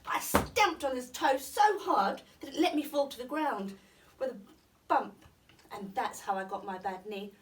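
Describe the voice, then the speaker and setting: A woman's voice: high voice, measured pace, far from the mic. A woman reading a children's bedtime story aloud with expression, as a school storytime reader.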